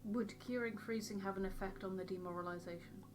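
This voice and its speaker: A woman's voice: mid-range voice, fairly even intonation, brisk. A woman chatting casually as co-host of a video game playthrough. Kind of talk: casual chat over gameplay. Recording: music behind the voice. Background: game music.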